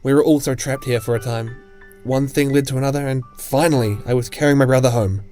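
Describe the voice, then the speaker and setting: A man's voice: medium pitch, fairly even intonation, a little fast, warm tone, faint music behind, close to an everyday voice. A man voicing a hesitant, brooding teenage boy. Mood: somber. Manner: hesitant, stammering. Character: gloomy teen boy, wandering a forest, brooding. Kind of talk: fan comic dub acting.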